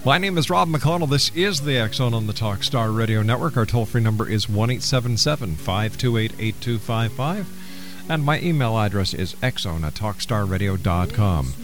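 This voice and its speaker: Man, low pitch, radio host, earnest deliberate delivery, light music behind. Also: lively intonation. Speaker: radio talk show host. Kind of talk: radio interview and show announcements.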